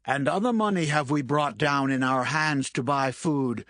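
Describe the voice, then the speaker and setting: A man's voice: mid-range voice, moderate pace, a bit bright, dry recording, studio quality, close to an everyday voice. An actor playing an anxious brother, pleading his honesty in a dramatised scripture reading. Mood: anxious explaining.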